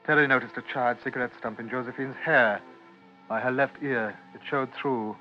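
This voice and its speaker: Man as solemn old-time radio narrator, measured, literary, mid pitch, muffled recording. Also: fairly even intonation, close to an everyday voice. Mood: grave, measured. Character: grave, literary omniscient male storyteller. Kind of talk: scripted radio drama narration. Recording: dull old radio recording, faint music.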